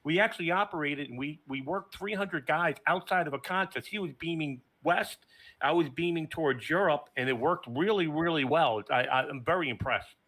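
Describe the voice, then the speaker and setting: A man's voice: on the high side, moderate pace, a bit bright, low-quality recording. A man explaining a technical setup in casual conversation, as a plain-spoken station operator. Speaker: remote ham radio station operator.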